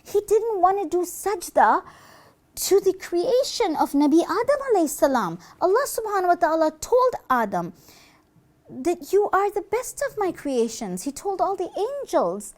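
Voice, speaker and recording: A woman's voice: very high voice, big pitch swings, normal speed, a little mellow, pitched above the performer's own voice. A woman voicing an earnest, astonished pupil who scolds the devil in a children's puppet show. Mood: accusing.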